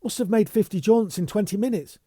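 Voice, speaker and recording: A man voicing a frantic, panicky young male messenger who shouts and gasps. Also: high-pitched, measured pace, no echo, clean studio sound, voice pushed up. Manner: shouting, gasping.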